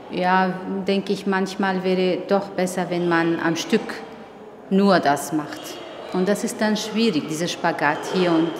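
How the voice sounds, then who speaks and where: Halting woman in an echoey hall. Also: mid-range voice. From Tunisia. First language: Arabic. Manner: thoughtful, halting with frequent hesitations. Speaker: writer, interviewee. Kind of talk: reflective interview talk. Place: exhibition opening venue. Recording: echoey hall with background noise.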